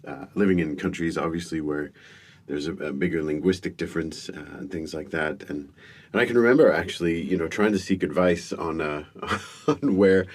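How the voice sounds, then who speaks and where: A middle-aged man, born in the UK to American parents, telling self-deprecating stories as a guest in an interview. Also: extremely low pitch. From born in the United Kingdom to American parents. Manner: self-deprecating, wry storytelling with frequent restarts. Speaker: guest speaker and comedian. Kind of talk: relaxed storytelling interview.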